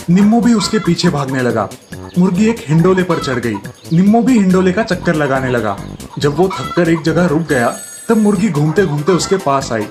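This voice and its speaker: A man narrating a scripted comic story for children as a storyteller. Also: fairly high pitch. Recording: music behind the voice.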